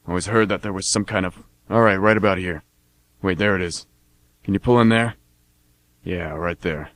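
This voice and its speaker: A man's voice: deep voice, fairly bright tone, no room sound. A man playing a laconic, slangy army veteran chatting as a cab passenger in an amateur radio drama. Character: gruff male army veteran cab passenger, guardedly chummy.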